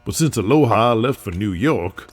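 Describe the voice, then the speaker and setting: Man acting a husky, animated wrestling booker over music. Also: lowish voice, normal speed. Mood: upbeat. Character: brash, persuasive wrestling booker and star with big plans. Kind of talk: audio drama dialogue. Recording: music bed under the voice.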